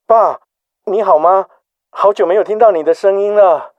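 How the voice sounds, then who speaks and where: A man's voice: sits high, moderate pace, dry recording, muffled recording, close to an everyday voice. Man acting a hesitant, dutiful son. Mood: guilty. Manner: hesitant, earnest. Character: torn, dutiful middle-aged son and husband. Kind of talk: radio drama acting.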